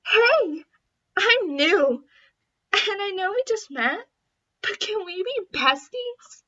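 Casting: perky new cheerleader voiced by a woman, eager to be friends, high and bright, amateur audition. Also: animated, slow, dull audio, exaggerated voice.

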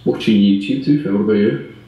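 A man's voice: fairly low pitch, quick pace. A young man on a video call, answering a stranger in short, offhand replies. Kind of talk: casual chat. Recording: far-off, dull, echoey video call audio.

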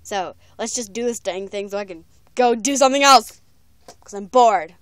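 A woman's voice: fairly high pitch, normal speed, fairly bright tone, dry recording. A woman chatting casually in a goofy, self-mocking way as she records an audition video on her phone. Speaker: vlogger auditioning for a voice part. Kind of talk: casual doll-video chat. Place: indoors at home. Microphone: handheld phone, close.

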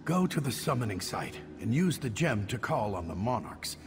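An actor playing a fearful, indignant middle-aged captive mage in a video game, agitated and theatrical. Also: male voice, mid-range voice, sing-song, moderate pace, music in the background, close to an everyday voice. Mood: wary, explaining. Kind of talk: video game dialogue acting. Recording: long reverb, background noise.

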